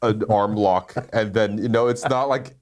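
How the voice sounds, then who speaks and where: A man's voice: lowish voice, fairly even intonation, normal speed. A man from California with an American accent, a podcast guest talking casually and hesitantly, with many fillers. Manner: meandering, hesitant, full of fillers. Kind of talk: casual conversation.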